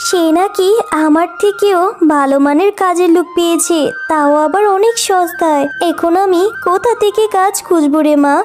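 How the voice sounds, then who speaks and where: A woman's voice: very high voice, moderate pace, hardly any pauses, soft background music. A woman voicing a poor, anxious fox mother and housemaid in a children's cartoon, plaintive and pleading. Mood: worried, sad.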